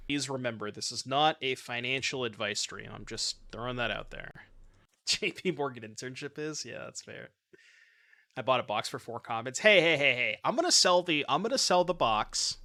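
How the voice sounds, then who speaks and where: A man in his thirties with a bright, forceful voice, talking casually into a desk mic at home. He banters with his chat, joking and teasing as he plays, and his pitch sits in the middle range. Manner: joking, chatty, answers chat while playing. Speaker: streamer. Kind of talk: casual gameplay commentary reading chat. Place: home streaming room. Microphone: desk mic with webcam.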